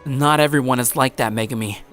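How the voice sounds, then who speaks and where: A man's voice: medium pitch, a little fast, fairly bright tone, faint music behind, close to an everyday voice. Man acting an earnest, nervous teenage schoolboy. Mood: pleading. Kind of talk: first-person narrated anime-style audio drama.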